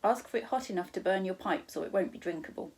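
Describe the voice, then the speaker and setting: Storybook guardian, read by a woman: kindly, whimsical gentleman, unruffled, quaint and reassuring. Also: mid-range voice, fast talker, slightly rough, low-quality recording. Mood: calm, cheerful. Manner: reassuring, quaint turns of phrase. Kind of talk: children's storybook read aloud.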